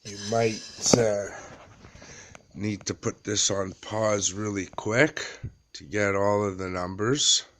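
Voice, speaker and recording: Slow-talking, low-voiced man giving a tutorial. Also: fairly bright tone, a little hiss or hum. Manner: halting, plain-spoken, pauses often. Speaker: amateur tutorial presenter. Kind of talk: casual how-to walkthrough. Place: small dim room indoors.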